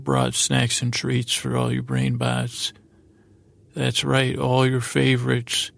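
A man with a heavily creaky, gravelly voice tells a rambling, improvised bedtime story. His pitch barely moves as he meanders, doubles back and trails off. The recording is very dry and close. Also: dry recording. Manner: meandering, halting, repeats and trails off. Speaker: podcast host.

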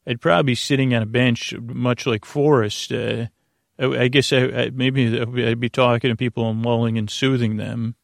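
Gravelly, halting man rambling softly off topic. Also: medium pitch, level tone, dry recording, studio quality. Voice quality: gravelly, creaky. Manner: rambling, digressive, loses his thread; halting, stammering word repetitions. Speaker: podcast host. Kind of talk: meandering bedtime podcast intro monologue. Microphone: close, dry podcast mic.

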